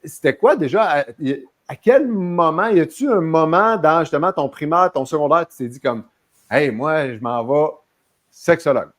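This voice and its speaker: A man's voice: on the high side, animated, moderate pace. A man with a Quebec French accent hosting a podcast interview, talking in a loose, chatty way with many hesitations and restarts. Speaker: podcast host. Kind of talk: casual interview chat.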